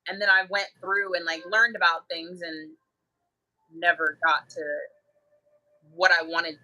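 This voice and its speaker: A woman co-hosting a relaxed conversation, who works in mental health, laughs often and acts out things she once said. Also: lowish voice, measured pace, low-quality recording. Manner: laughs often, acts out her own past remarks. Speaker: co-host, mental health worker. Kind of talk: casual conversation.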